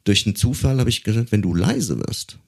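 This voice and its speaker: A man's voice: lowish voice, sing-song, a little fast, gravelly, a little mellow, breathy edge. A man co-hosting a casual podcast conversation, putting questions and telling anecdotes as a fellow performer. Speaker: co-host and stage musician.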